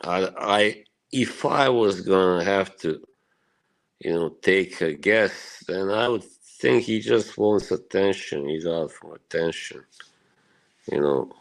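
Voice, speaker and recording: A man's voice: low voice, slow, creaky, rough recording. A man from Bosnia, a live streamer, chatting casually with his viewers in a rambling, profane way, full of "you know" and short laughs.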